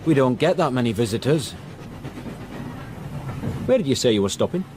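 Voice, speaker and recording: A man's voice: mid-range voice, very animated, moderate pace, background noise, close to an everyday voice. An actor playing a blunt, foreboding local man with a Northern English accent and terse speech, in a radio drama. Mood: gruff, helpful.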